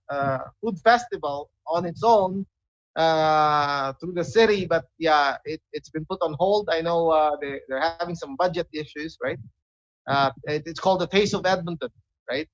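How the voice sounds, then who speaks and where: A man with a Filipino accent chatting as a radio show co-host, halting and often pausing to search for words. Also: a little high, no echo, dull audio. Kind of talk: casual talk show chat.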